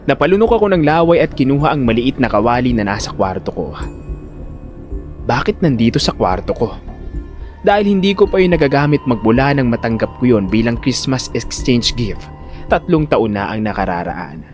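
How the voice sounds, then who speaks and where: A man's voice: very animated, moderate pace, a little mellow, faint music behind, lightly acted. A man playing an anxious, protective older brother who tells his suspense story in first person, with dramatic narration and acted dialogue. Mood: tense. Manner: dramatic storytelling, exasperated and high-pitched in dialogue.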